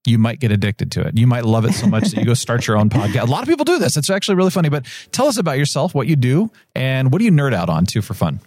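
Lively fast-talking male podcast host. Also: mid-range voice, dry recording, studio quality. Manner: enthusiastic, chatty. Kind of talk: podcast interview.

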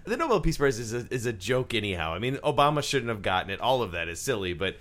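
A man in a political discussion, speaking in a measured way, repeating words as he thinks. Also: medium pitch, very animated, a bit bright. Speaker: panelist.

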